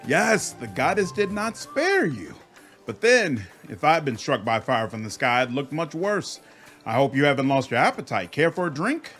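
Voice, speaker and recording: A man's voice: mid-range voice, very animated, normal speed, a bit bright, faint music behind, voice pushed up. A man voicing a wry, blunt tavern keeper in a fantasy game, with a conversational manner.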